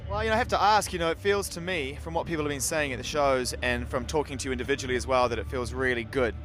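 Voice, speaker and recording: A man's voice: mid-range voice, quick pace, a bit bright. A man interviewing a band backstage at an outdoor festival, asking eager, chatty questions. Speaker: radio interviewer.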